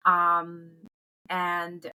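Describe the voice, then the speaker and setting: A woman's voice: on the low side, completely flat delivery, very slow, sharp tone, smooth, rough recording. A woman in her twenties from Haiti, a native French and Creole speaker, chatting casually as a podcast guest. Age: 20s. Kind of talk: casual interview chat.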